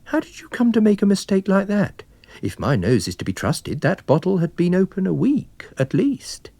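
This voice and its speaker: A man voicing an affable, observant wine salesman and amateur sleuth, polite and gently pointed, in a dramatised story reading. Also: high-pitched, very animated, moderate pace, creaky, dry recording, voice pushed up, exaggerated voice. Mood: puzzled, probing.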